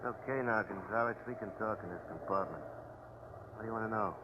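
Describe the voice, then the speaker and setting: An actor playing a confiding underworld go-between in a 1940s radio crime drama, talking in confidential slang. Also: male voice, on the low side, fairly quick, close to an everyday voice. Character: confiding male underworld go-between for big boss. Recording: dull, noisy 1940s radio broadcast.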